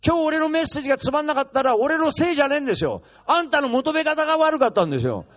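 A man whose voice sits unusually high for a man. He preaches as a guest in a church, blunt and brash, slipping in jokes as he switches rapidly between languages. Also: big pitch swings, fairly bright tone. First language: Japanese. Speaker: guest pastor. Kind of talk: bilingual sermon.

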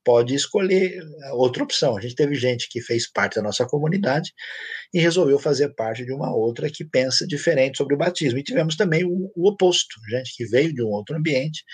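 A man's voice: mid-range voice, husky, no room sound. A man with a Brazilian Portuguese accent, a pastor answering questions in a church teaching session, explaining conversationally with anecdotes.

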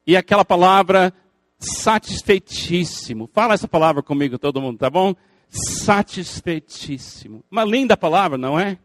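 An older man with a native English speaker's lilt delivers an earnest sermon, building intensity through repeated phrases. His voice sits high for a man and sounds close and dry, with no room around it. He breaks in with playful asides to the listeners. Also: no room sound. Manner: earnest preaching with emphatic repetition and rising intensity. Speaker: pastor.

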